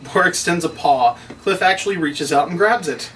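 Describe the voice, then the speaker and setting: Higher-voiced male narrator, distant mic. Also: moderate pace, fairly bright tone. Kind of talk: reading stage directions at a table read. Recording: distant mic, noisy room.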